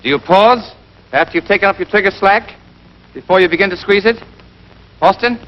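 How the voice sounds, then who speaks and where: A man playing a brisk, exacting American army rifle instructor in a scripted 1940s training film, drilling and quizzing with emphasis. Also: high-pitched, close to an everyday voice. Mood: brisk, instructive. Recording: dull band-limited 1940s film soundtrack.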